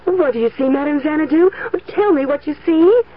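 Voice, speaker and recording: A woman's voice: high voice, animated, a little fast. A woman playing an eager, gullible fortune-teller's client in a theatrical radio ad sketch. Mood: breathless, eager. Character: eager, gullible woman having her palm read. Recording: tinny old radio recording.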